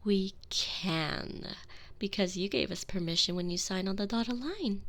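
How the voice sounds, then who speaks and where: A woman voicing a seductive, commanding vampire mistress in an intimate audio roleplay, teasing and manipulative, often dropping to soft whispers. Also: medium pitch, unhurried. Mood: taunting. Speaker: voice actress in a one-sided audio roleplay. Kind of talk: intimate binaural roleplay, addressing the listener.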